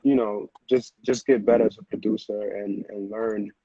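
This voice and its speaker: A man's voice: fairly low pitch, steady tone, normal speed, dark tone, breathy edge, dry recording, dull audio. An American man chatting casually as an interview guest, with slangy phrasing and a habit of repeating himself. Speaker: music producer, interview guest.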